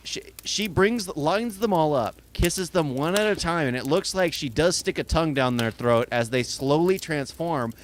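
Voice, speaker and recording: A man's voice: fairly high pitch, lively intonation, normal speed, few pauses, a little hiss or hum. A young man co-hosting a podcast, casually and earnestly walking through movie scenes in detail. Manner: earnest, explains scenes at length. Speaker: podcast co-host.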